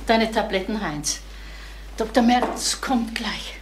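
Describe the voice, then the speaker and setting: A wife at her wits' end in a crime radio drama. She has a fairly high voice and speaks hesitantly, apologising and pleading. The recording is clearly noisy. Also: slow. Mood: worried. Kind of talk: German crime radio play acting.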